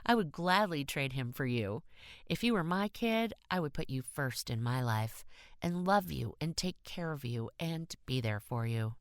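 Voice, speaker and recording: A woman's voice: low voice, expressive, measured pace, dry recording, clean studio sound. A woman voicing a lonely, affectionate young divorcing woman in an audiobook story, confiding and gushing. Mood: affectionate, earnest.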